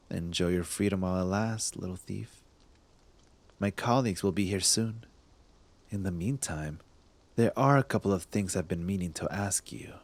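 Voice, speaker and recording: A man playing a smug cop secretly smitten with a thief in an intimate ASMR roleplay, teasing and halting, sometimes dropping to a breathy murmur. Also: low voice, a little mellow. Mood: smug, taunting. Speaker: voice actor in audio roleplay. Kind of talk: ASMR roleplay acting, addressed to the listener.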